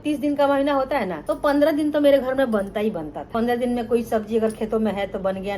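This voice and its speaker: A woman's voice: a little high, mellow, a little hiss or hum. A middle-aged woman from Bihar, telling her life story to viewers in a chatty vlog and often calling them friends. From Bihar, India. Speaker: cooking channel host.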